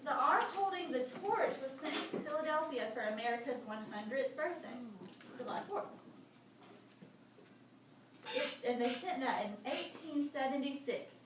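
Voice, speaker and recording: A high-pitched woman reads aloud as a teacher, pausing to explain and question her pupils in an instructive way. She is recorded at a distance from the microphone in an echoey room, with clear background noise. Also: lively intonation. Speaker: teacher reading aloud to children. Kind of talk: read-aloud lesson with prompting questions. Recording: very echoey noisy room, far from mic.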